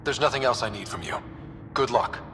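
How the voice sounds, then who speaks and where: A man's voice: medium pitch, a little fast, slight background noise, lightly acted. An actor playing a young, earnest and curious male explorer leading a team in a video game. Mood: focused. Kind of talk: video game dialogue acting. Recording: loud game music and effects behind.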